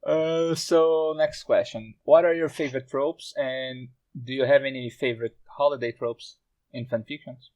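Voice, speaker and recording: A man's voice: mid-range voice, fairly even intonation, moderate pace, few pauses, darkish tone, dry recording. Man hosting a casual podcast, very dry sound. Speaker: podcast host. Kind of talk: casual panel discussion.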